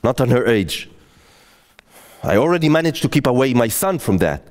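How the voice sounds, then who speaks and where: A man in his forties from Israel telling a comic story onstage in a wry, self-mocking tone. His voice sits at a middling pitch but moves in lively swings. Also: normal speed. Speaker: comedian. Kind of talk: solo stand-up storytelling.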